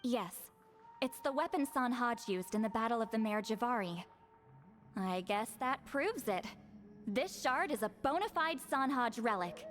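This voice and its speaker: A woman's voice: fairly high pitch, animated, a little slow, fairly bright tone. A woman voicing a shrewd, no-nonsense grandmother clairvoyant in a video game, brusque, wry and decisive. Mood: brisk. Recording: music behind the voice. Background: game music.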